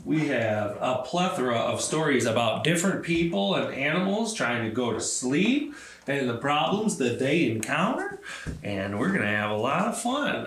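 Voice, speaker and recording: Animated man hosting children's storytime, roomy sound. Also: mid-range voice, a little hiss or hum. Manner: encouraging, simple instructions addressed to young children. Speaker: library storytime host.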